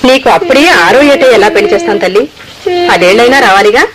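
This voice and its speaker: A woman's voice: medium pitch, quick pace, over a noisy crowd, natural voice, poor audio. A woman playing a doting, patient mother in a radio play, coaxing and affectionate. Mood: soothing, exasperated. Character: doting, patient Rajput mother soothing her child.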